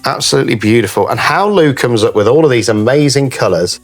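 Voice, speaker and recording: A man with a slightly croaky, cold-affected voice, chatting casually to viewers as he hosts a craft tutorial. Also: medium pitch, expressive, fast talker, faint music behind. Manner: chatty, addresses viewers as guys. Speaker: craft channel host.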